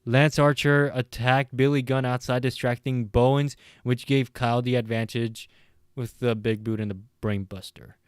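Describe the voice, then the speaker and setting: A man with a clear, mid-pitched voice that is a little worn from talking late at night. He reviews a show in a casual, rambling way, often pausing and correcting himself. The sound is close and dry. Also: fairly even intonation, no echo. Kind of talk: casual recap and opinion commentary.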